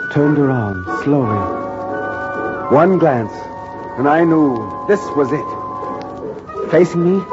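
An actor playing a reporter who narrates his own ordeal in measured, grave first person, in a 1940s radio drama. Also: fairly high pitch, natural voice. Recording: dull 1940s radio broadcast recording with music.

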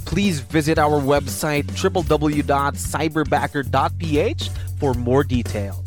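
Man, higher-pitched voice, scripted promo announcer, prominent music behind. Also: a little slow. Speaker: show announcer.

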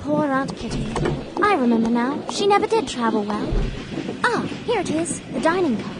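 A woman's voice: high voice, very animated, moderate pace, heavy background noise. A woman playing a naive, polite, eager nineteen-year-old Victorian country girl in a radio drama, earnest and full of questions. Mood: concerned. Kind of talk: period radio drama acting.

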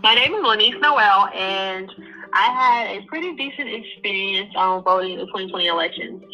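A woman with a bright, forward tone tells her story in a loose, chatty way, filling pauses with ums and uhs. Faint music plays underneath. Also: mid-range voice, lively intonation, dull audio. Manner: chatty, rambling account with many fillers. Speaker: voter interviewee. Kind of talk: recorded testimonial.

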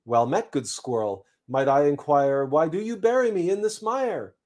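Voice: medium pitch, moderate pace, a little mellow, close to an everyday voice. A performer voicing a bewildered, gloomy seed who pleads courteously in archaic rhyming verse. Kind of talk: storybook verse recital.

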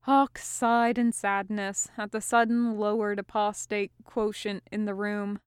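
A woman's voice: medium pitch, little pitch movement, unhurried, smooth, no echo, studio quality. A woman reading fiction aloud as a scripted narration. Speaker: amateur audiobook reader.

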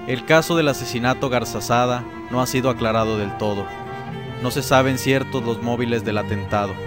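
A man's voice: mid-range voice, fairly quick. Mexican male narrator over prominent music. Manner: formal, serious. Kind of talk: scripted documentary radio chronicle narration.

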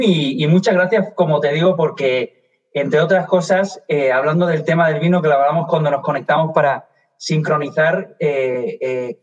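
A man with an accent from Spain speaks over a muffled, lo-fi video call, his voice sitting a little high. He answers in a friendly, lightly joking way, then explains at length. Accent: Spanish from Spain. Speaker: guest expert. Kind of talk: interview answers.

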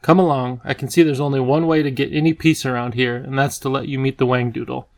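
A fussy, towering palace official from a storybook, acted out by a man reading aloud. He is brisk, officious and grave, easily irritated but soft-hearted underneath, with a gravelly creak in his voice. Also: mid-range voice, flat delivery, close to an everyday voice. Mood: flustered, relenting.

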